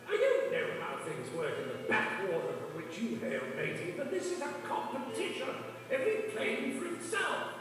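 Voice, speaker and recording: A man's voice: very high voice, huge pitch swings, normal speed, full character voice. A man voicing a haughty, pompous European champion racing plane in a cartoon storybook. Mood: scornful. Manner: pompous, dismissive. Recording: very echoey, distant, music behind.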